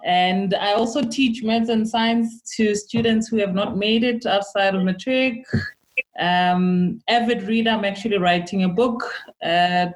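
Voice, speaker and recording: A woman introducing herself in a relaxed, chatty way as a guest. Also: medium pitch, low-quality recording. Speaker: quantity surveyor guest. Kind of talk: casual introduction.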